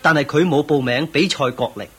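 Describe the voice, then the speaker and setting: A man's voice: on the high side, a little fast, fairly bright tone, slightly rough. A man with a Hong Kong Cantonese accent playing a loyal, respectful martial arts master at court in a radio drama, formal and earnest. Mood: deferential, formal.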